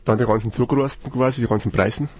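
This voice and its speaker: A male DJ from Lower Bavaria, a studio guest explaining things in a plain-spoken way. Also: steady tone, racing, a little mellow, slight background noise. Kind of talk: casual radio chat.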